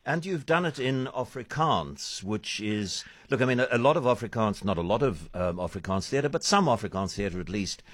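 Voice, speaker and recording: A man's voice: on the low side, animated, moderate pace, hardly any pauses, a bit bright, breathy edge, no echo. A man hosting an arts interview, talking in a rambling way with restarts and fillers. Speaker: radio arts host.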